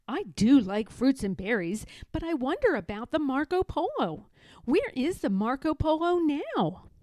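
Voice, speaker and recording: Woman voicing an eager, high-pitched little bear cub. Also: big pitch swings, measured pace, warm tone, dry recording. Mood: curious. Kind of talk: children's storybook character voice.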